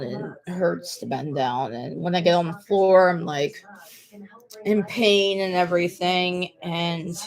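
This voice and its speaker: A woman's voice: lowish voice, low-quality recording. Woman, late twenties, casual vlog talk, rambling, repeats herself, many fillers and restarts. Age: 20s. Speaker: vlogger. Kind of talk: casual personal talk to camera.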